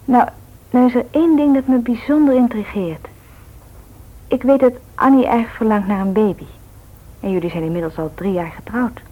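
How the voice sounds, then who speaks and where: An actress playing a caring, frank young medical student in a radio drama, earnest and slightly hesitant. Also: female voice, on the high side, normal speed, lightly acted. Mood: curious, frank. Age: young adult. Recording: thin, phone-like old radio recording.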